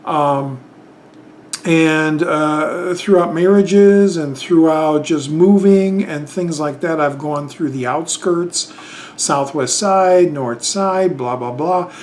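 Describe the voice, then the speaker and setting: A man's voice: fairly high pitch, slow, darkish tone, slight background noise. A man, casually rambling through a tag video with lots of fillers and restarts. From Chicago, Illinois. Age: 50s. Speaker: YouTube channel host.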